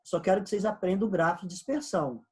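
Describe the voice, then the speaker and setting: A man's voice: high voice, quick pace, a little mellow. A man with a Brazilian Portuguese accent teaching an economics lesson, explaining step by step and repeating key points. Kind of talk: recorded online class lecture.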